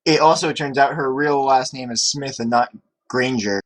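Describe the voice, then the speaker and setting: A man's voice: mid-range voice, fairly even intonation, moderate pace, poor audio. A man doing a comedic, dramatic read-aloud of fan fiction among friends.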